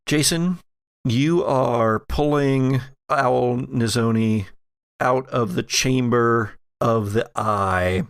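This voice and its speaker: A man working as game master, improvising narration for a tabletop horror game in a halting, deliberate way with pauses. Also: mid-range voice, vocal fry, smooth, dry recording, clean studio sound. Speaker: game master of an actual-play horror podcast.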